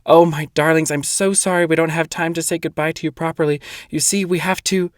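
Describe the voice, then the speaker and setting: Reader doing a breathless otherworldly old lady, rushed and kind, pitched up, dry audiobook sound. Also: steady tone, dry recording, close to an everyday voice. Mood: hurried. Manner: breathless, hurried. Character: breathless kindly old woman, an otherworldly being. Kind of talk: audiobook character voice.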